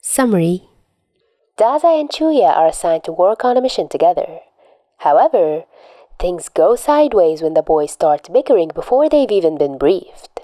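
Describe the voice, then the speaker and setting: Mellow, lively female story narrator. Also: lowish voice, moderate pace, no echo. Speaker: podfic narrator. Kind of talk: expressive audiobook-style story narration.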